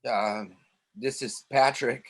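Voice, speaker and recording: A man acts out a timid, eager-to-please intern in a stage comedy. He speaks slowly at a medium pitch, deadpan and hesitant, taking everything literally and deferring politely. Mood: timid, deferential. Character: meek, literal-minded, eager-to-please male office intern. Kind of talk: comedy play table reading. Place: remote reading over a video call.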